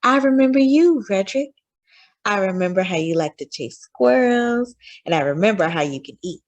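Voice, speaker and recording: A woman voicing a loving, patient mother who gently reassures her young son in a children's storybook read-aloud. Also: medium pitch, expressive, moderate pace, lo-fi sound, close to an everyday voice. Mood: tender, reassuring.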